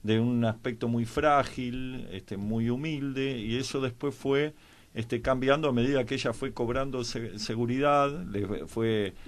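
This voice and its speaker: A man with an Argentine accent in a panel discussion, explaining in a halting, hesitant way. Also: mid-range voice. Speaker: panelist on a history programme.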